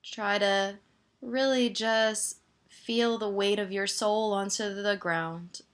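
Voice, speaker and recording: Slow-paced woman guiding a meditation. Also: medium pitch, fairly even intonation. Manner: gentle, soothing instruction with pauses. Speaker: meditation teacher. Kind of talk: guided body scan meditation.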